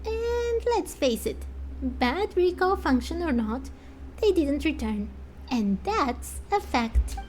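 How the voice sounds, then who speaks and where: A woman voicing a small, excitable, sceptical fairy companion in a game, speaking in an exclamatory way. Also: high-pitched, slow pace, a little hiss or hum, full character voice. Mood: indignant. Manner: exclamatory, indignant, speaks of herself by name. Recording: music under the voice.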